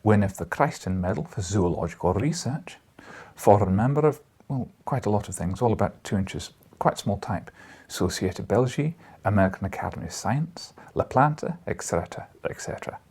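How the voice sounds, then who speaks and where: A man voicing a gruff, brusque older newspaper editor in an audiobook, speaking in a curt, emphatic way. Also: lowish voice, expressive, brisk, darkish tone. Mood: brisk, dry.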